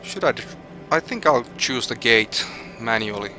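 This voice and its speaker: A man's voice: mid-range voice, animated, moderate pace, pushed and bright, a little hiss or hum. A man with a Finnish accent streaming a flight simulator, thinking aloud and reading out weather and route figures with hesitant restarts. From Finland. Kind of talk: live gameplay commentary, thinking aloud.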